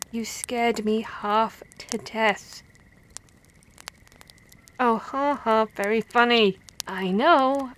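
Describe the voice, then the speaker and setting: A woman playing a female vampire hunter who scolds and cares for her comrade, in an expressive, stammering ASMR roleplay. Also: fairly high pitch. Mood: startled, flustered.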